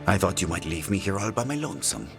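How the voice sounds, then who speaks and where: An actor playing a smooth, sardonic, theatrical male assassin who teases in a video game. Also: low-pitched, lively intonation, quick pace, fairly bright tone, soft background music. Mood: teasing. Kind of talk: video game dramatic voice acting.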